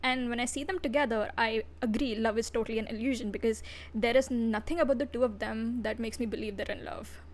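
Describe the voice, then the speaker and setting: A woman's voice: a little high, fairly even intonation, brisk. A woman VTuber giving a casual livestream review, blunt and profane, breaking into giggly asides.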